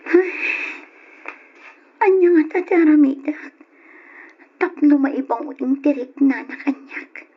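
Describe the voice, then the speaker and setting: A woman's voice: a little high, a little mellow, slightly rough, exaggerated voice. A woman with an Ilocano accent acting a jealous, lovesick young student in an old radio drama, brooding with sighs. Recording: muffled radio recording, background hiss.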